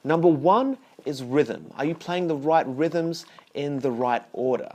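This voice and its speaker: A young man teaching bass, talking straight to the viewer in a chatty, explaining way and asking questions as he goes. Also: expressive, unhurried, a little mellow. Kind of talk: direct-to-camera instructional talk.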